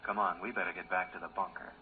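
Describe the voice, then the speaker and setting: An actor playing an uneasy, careful geologist on a space expedition in a 1950s radio drama. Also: male voice, sits low, flat delivery, quick pace, natural voice. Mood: worried. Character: male space-expedition geologist, absorbed in instruments, uneasy, cautious. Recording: noisy, narrow-band 1950s radio recording.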